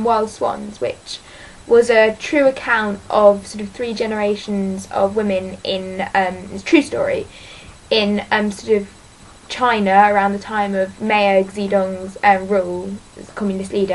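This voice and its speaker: A woman's voice: mid-range voice, slight background noise, poor audio. A teenage girl chatting casually about books in a vlog, rambling and hesitant, full of ums and sort-ofs, self-deprecating. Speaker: book vlogger.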